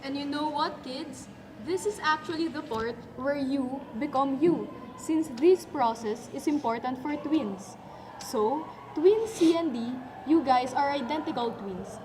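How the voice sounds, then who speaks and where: A woman's voice: high voice, measured pace. A woman playing a friendly doctor who teaches children in a scripted educational puppet show. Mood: explaining. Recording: amateur recording with faint background noise.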